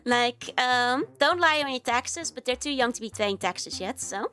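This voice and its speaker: A woman's voice: sits high, expressive, slow, sharp tone, soft background music. A young woman with a Dutch accent chatting casually on a livestream, giggly and rambling with lots of fillers. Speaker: VTuber streamer.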